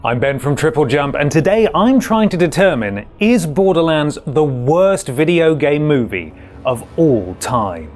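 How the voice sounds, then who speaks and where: A man's voice: fairly high pitch, big pitch swings, a little slow, darkish tone, a little hiss or hum. A man presenting a scripted video essay, with wry, dry humour and emphatic stresses. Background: background music.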